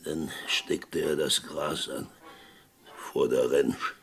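An actor playing a fervent, gravelly friend of a condemned man in a German Western radio play, dramatic and given to repeating himself. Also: rumbling low, fairly even intonation, fairly bright tone, heavily acted. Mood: haunted, grave. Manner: slow, halting, dramatic storytelling with repetitions.

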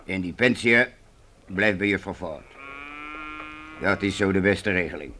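A man playing a blunt, paternal council leader of a wagon train in a radio play, measured and authoritative. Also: low-pitched, sharp tone, slight background noise. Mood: firm, matter-of-fact. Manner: measured, authoritative, hesitant openings.

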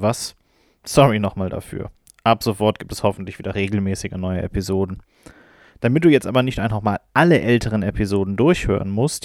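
A man's voice: fairly low pitch, darkish tone, no room sound, clean studio sound. A man narrating a scripted recap as game master, addressing the listener directly and informally.

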